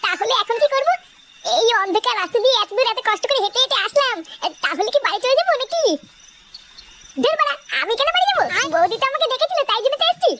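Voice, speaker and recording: A man's voice: very high voice, lively intonation, fast talker, noisy background. A man voicing a cocky, whining, foul-mouthed young village husband in a comic cartoon. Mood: scheming, grumbling. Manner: whining, crude, boastful.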